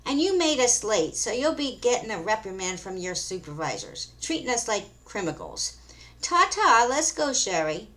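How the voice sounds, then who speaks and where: A woman's voice: big pitch swings, brisk, few pauses, poor audio. A woman voicing a bossy, spoiled young valley girl who talks in a whiny, entitled way. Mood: huffy. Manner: whiny, entitled, high and loud.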